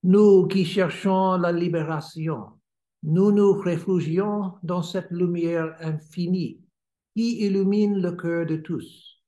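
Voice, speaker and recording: An American man, a native English speaker, reads a spiritual lecture in careful, hesitant French with many pauses. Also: fairly high pitch, dark tone. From United States. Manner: halting, many hesitations while reading. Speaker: swami giving a lecture. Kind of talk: read-aloud spiritual lecture. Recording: roomy echo.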